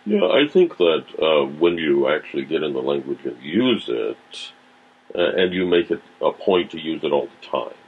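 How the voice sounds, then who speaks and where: A man's voice: rumbling low, very animated, moderate pace, husky. A man from Tennessee, a podcast guest talking reflectively in casual conversation and searching for his words. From Tennessee, USA. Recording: tinny phone-like sound.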